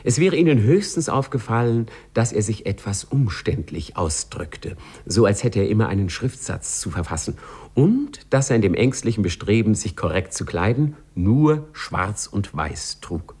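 An actor as a wry, measured storyteller, narrating a scripted 1950s radio play. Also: male voice, lowish voice, big pitch swings, a little mellow, breathy edge, full character voice.

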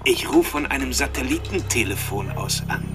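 An actor in a radio drama playing a taunting, intellectual serial killer, with a mocking, theatrical way of drawing out phrases. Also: male voice, mid-range voice, lively intonation, over loud music, close to an everyday voice. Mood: teasing, controlling. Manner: mocking, theatrical, mannered, teasing, drawn-out phrasing.

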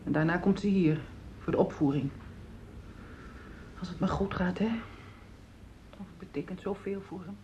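A middle-aged actress playing a worried, weary wife in a radio play, speaking in a hesitant, subdued way. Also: female voice, on the low side, moderate pace, pauses often, dark tone, highs cut off, a little hiss or hum, close to an everyday voice. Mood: anxious.

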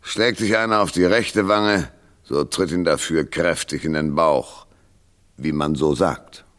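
An actor playing a wry, tough hardboiled private detective, narrating and trading dry banter in a radio noir. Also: male voice, very deep voice, unhurried. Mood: wry narration. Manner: sardonic, dry first-person narration and sharp banter.

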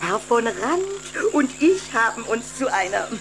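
A woman's voice: on the high side, very animated, gravelly, full character voice. A woman playing a haughty, scolding vampire aunt in a German children's audio play, with stern, theatrical delivery. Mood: gushing, grand. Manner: theatrical, stern, drawn-out pronouncements. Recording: music behind the voice.

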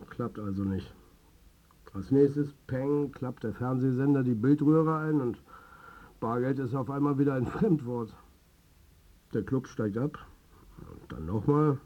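An actor playing a wry, beleaguered, football-mad club manager in a radio play, talking in a colloquial, confiding way. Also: male voice, mid-range voice, husky, muffled recording. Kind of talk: radio drama narration and dialogue.

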